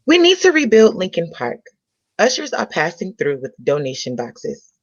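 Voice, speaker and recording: A self-confident young woman with lively intonation, played by an actress in a comedy read. She is measured and polite, and the recording is a little dull. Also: on the low side, no echo, full character voice. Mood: earnest speechmaking. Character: poised, self-confident young woman, community event organizer. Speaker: actor in a table read.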